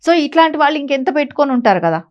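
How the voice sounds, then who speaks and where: A woman's voice: sits high, very animated, rapid-fire, lo-fi sound. A woman giving opinionated news commentary in an emphatic, insistent way, often using rhetorical questions. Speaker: political commentator on a news talk show.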